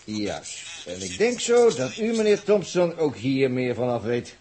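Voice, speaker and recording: A man's voice: medium pitch, sing-song, a little slow, a little mellow, a little hiss or hum. An actor playing a shrewd, outspoken crewman in a 1960s radio play, colloquial and wry. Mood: suspicious, pointed.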